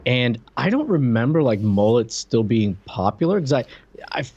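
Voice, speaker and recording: A man with an animated, mid-pitched voice chats as a podcast co-host. He is informal and joking, often halting mid-thought, and the recording sounds a little dull and lo-fi. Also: breathy edge. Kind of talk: casual chat.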